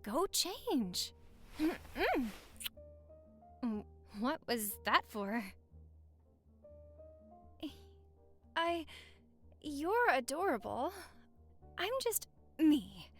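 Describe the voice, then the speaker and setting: High, lively, playful young wife played by a woman. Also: very slow, pauses often, soft background music, exaggerated voice. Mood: playful, affectionate.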